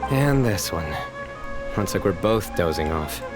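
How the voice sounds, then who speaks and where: A deadpan, low-voiced young man. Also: unhurried, loud music behind the voice. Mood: dry, curious. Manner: deadpan, dryly teasing. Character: serious young man, deadpan, dry-witted former student. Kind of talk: English video game voice acting.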